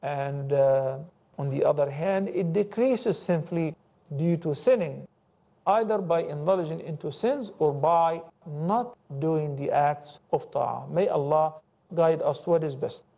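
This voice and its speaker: A middle-aged man giving a televised religious lecture as host, animated and gesturing, reciting Arabic quotations in a studio. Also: a little high, unhurried, vocal fry. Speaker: Islamic scholar and TV host. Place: indoor TV studio set.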